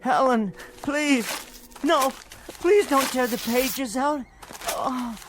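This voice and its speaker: An American actor playing a timid, bookish, henpecked middle-aged husband in a radio drama, with hesitant, stammering speech and a gentle dignity. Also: male voice, extremely high pitch, slow, very noisy. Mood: pleading, distressed. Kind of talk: scripted radio drama acting.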